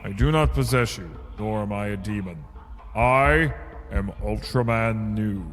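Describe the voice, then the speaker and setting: A man playing a solemn, noble alien giant of light in an audio drama, speaking gravely and formally. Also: on the low side, a little slow, a bit bright, big-room sound. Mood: solemn, calm.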